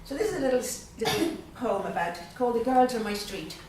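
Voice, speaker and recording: A woman's voice: fairly high pitch, big pitch swings, a little mellow, recorded from a distance, a little hiss or hum. Irish woman reading poems in an echoey room. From Dublin, Ireland. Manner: slow, measured reading with pauses; chatty and hesitant between poems. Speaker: guest poet. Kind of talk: poetry reading at a literary evening. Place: event room at a literary gathering.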